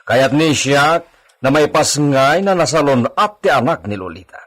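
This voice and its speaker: A man's voice: fairly high pitch, animated, moderate pace, a bit bright. A man with an Ilocano accent playing a stern, curt, formal master of a villa in a radio drama. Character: stern, imperious master of a villa, Señor Esteban.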